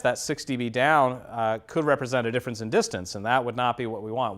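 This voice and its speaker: A man giving a hands-on demonstration lecture as an instructor, explaining things methodically, step by step. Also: mid-range voice. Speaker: audio engineering instructor.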